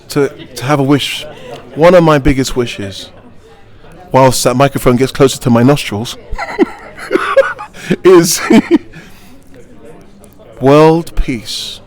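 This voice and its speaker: A middle-aged man, a pantomime actor, giving playful answers in an interview, joking and laughing often in a theatrical way. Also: mid-range voice.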